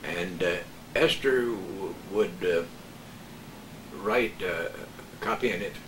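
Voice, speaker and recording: An older man, a veteran radio broadcaster, reminiscing casually and telling anecdotes in a halting, hesitant way. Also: low voice. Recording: roomy, distant mic, noisy background.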